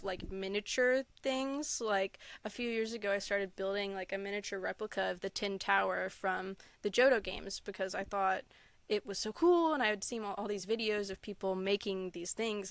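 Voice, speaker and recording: A woman's voice: medium pitch, a bit bright, no room sound. A young adult woman chatting casually and enthusiastically as an interview guest, often giggling as she talks. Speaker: interview guest, content creator. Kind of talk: casual chat, answering interview questions.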